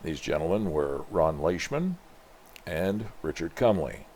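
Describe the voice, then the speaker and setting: Older man, low register, storyteller reading comic-book narration aloud. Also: expressive, measured pace, darkish tone, slight background noise. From Canada. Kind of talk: read-aloud narration with introduction.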